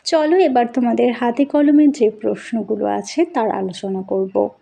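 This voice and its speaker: A woman teacher giving a scripted school lesson to young pupils, speaking in a deliberate, instructive way. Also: on the high side, steady tone, gravelly, mellow. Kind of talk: scripted lesson narration with question-and-answer and poem recitation.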